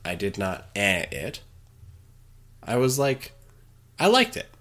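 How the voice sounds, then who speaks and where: A man's voice: fairly low pitch, huge pitch swings, slow pace, a bit bright. Sniffly man bantering on a podcast. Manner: laughing, profane banter. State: sniffly from a cold. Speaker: podcast co-host. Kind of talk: casual chat.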